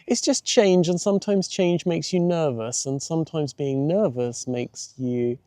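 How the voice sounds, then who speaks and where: A man's voice: on the high side, a little slow, mellow, no room sound, voice pushed up, close to an everyday voice. A man voicing a loving, encouraging mother who reassures her young daughter, in a storybook reading. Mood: soothing.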